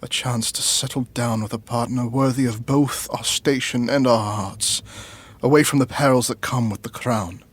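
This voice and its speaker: An actor playing a middle-aged, ambitious royal brother and devoted lover in an audio drama, husky and formal, with measured, pompous phrasing. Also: male voice, medium pitch, fairly even intonation, natural voice. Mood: wistful, lofty.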